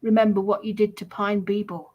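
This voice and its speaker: A woman's voice: mid-range voice, flat delivery, brisk, lo-fi sound. A woman live streamer delivering a confrontational monologue in an accusatory, emphatic, repetitive manner.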